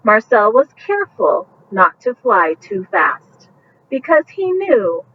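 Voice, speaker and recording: A woman reads a bedtime picture book at a slow pace. She pauses for casual, gentle asides about the pictures. The recording is muffled and picked up from some distance, with faint noise behind it. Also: mid-range voice, very animated. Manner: gentle explaining, pointing out pictures as to young children. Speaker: storybook reader.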